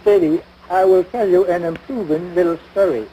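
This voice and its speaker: Man acting as stuffy American family man, mellow dark tone, formal, dryly sarcastic, old sitcom, slightly noisy recording. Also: high-pitched, moderate pace, natural voice. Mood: dryly pompous. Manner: pompous, formal, dry sarcasm. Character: pompous, long-suffering middle-aged husband and father, wryly superior. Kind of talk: domestic radio-style situation comedy. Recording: noisy vintage broadcast recording.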